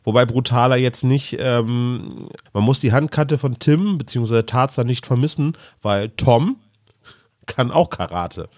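A man with a medium-pitched voice chats as a podcast co-host. His talk is informal, like a fan discussing a favorite hobby, recorded with almost no room sound. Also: animated, measured pace, a little mellow, dry recording, clean studio sound. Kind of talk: casual fan chat about audio dramas.

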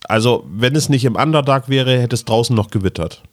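A man's voice: mid-range voice, a little fast, no room sound, studio quality. A man co-hosting a podcast in casual chat, agreeing and adding short details. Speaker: podcast co-host.

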